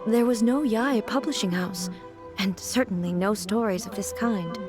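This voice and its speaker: A woman playing a composed, reserved female deity ruler in a video game, speaking in a formal, measured and deliberate way. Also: medium pitch, lightly acted. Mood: reflective, solemn. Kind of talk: video game character dialogue. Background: music.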